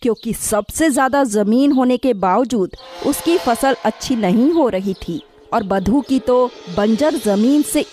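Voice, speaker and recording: Female narrator for animated children's fables; higher-pitched, scripted storytelling over a music bed. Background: background music.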